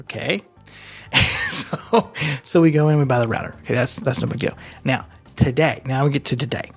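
A man's voice: medium pitch, a little fast, vocal fry, breathy edge, faint music behind. A man co-hosting a podcast tells a workplace story in a loose, rambling way. He keeps backtracking and adding asides as he goes. His voice sits in an ordinary middle register. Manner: rambling, self-correcting anecdote with asides. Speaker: podcast co-host. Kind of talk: casual chat, storytelling. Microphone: studio microphone plugged into computer.

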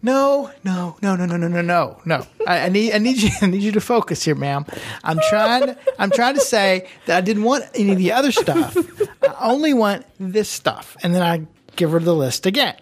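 A woman's voice: medium pitch, animated, moderate pace. A woman co-hosting a casual chat, telling a comic story with lots of laughter and acted-out quotes. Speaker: co-host.

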